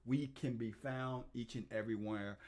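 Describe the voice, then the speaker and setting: A middle-aged man hosting a livestream for his real estate investing channel, shouting out viewers by name and talking through his video playlists with upbeat enthusiasm. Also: mid-range voice, fairly even intonation, moderate pace. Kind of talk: casual livestream shout-outs and channel tour.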